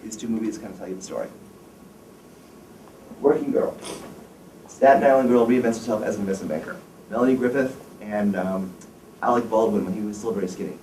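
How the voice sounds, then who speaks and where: A man's voice: fairly low pitch, a little fast, pauses often, a little mellow, far from the mic. A man in his fifties giving a career lecture to students, blunt and opinionated, quizzing the audience. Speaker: wealth management firm president giving a career talk. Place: lecture room. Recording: echoey room, recorded from afar, faint noise.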